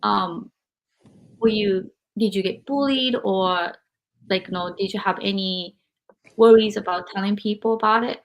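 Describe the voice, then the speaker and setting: Slow, hesitant woman. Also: medium pitch, rough recording. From Japan. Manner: hesitant, searching for words, many fillers. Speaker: podcast host. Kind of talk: interview podcast.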